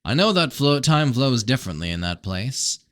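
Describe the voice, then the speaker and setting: A man's voice: mid-range voice, very animated, moderate pace, fairly bright tone, no room sound, pitched above the performer's own voice. A man voicing a blunt, suspicious prince in a first-person novel reading, terse and challenging. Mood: pressing, suspicious. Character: blunt, suspicious fantasy prince interrogating his brother.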